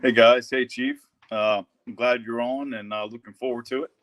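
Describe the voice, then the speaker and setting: A man's voice: medium pitch, fairly even intonation, normal speed, a bit bright. A man, a Baltimore firefighter, introducing himself hesitantly on a webcast. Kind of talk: casual webcast chat.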